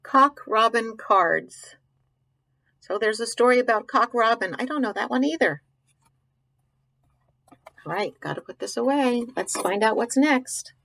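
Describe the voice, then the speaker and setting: A woman's voice: fairly high pitch, lively intonation, unhurried, vocal fry, long pauses, a bit bright, rough recording. A woman reading a picture book aloud to young children, stopping to ask questions, muse aloud and explain things simply. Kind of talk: read-aloud with informal commentary to young children.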